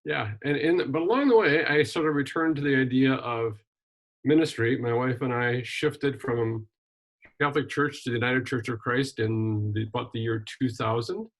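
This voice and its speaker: An older man from Wisconsin, a retired minister and journalist, telling his life story in a relaxed interview with frequent ums and restarts. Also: mid-range voice, normal speed, poor audio. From Wisconsin, USA. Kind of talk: casual conversational interview.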